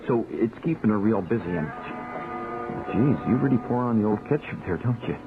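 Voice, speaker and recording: A man's voice: lowish voice, animated, moderate pace, noisy background. An actor playing a torn, self-doubting married writer in a radio drama, confiding and hesitant as he narrates and talks. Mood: uneasy. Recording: thin phone-like radio audio, music behind.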